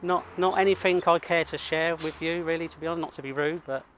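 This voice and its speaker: British man, slightly high voice, blunt, self-assured, freelance videographer, casual outdoor narration and chat. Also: fairly even intonation, fast, background noise. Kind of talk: narrating to camera and casual conversation. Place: outdoors at a college car park.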